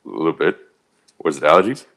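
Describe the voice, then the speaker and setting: A man's voice: rumbling low, animated, quick pace, creaky, a bit bright. A man co-hosting a podcast in casual chat, making dry, teasing remarks. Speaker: podcast co-host.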